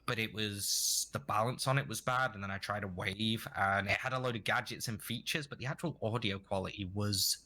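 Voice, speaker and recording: A man with a fairly low voice and a bright, forceful edge, talking casually as an interview guest. He rambles, restarting sentences as he goes. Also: fairly quick, hardly any pauses. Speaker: guest streamer.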